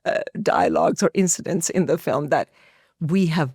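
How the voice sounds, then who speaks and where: A woman's voice: sits low, normal speed, gravelly, a little mellow, slightly rough, dry recording, clean studio sound. A middle-aged woman from India with an Indian accent, answering conversationally as an interview guest and telling personal stories. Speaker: podcast guest, actress and filmmaker.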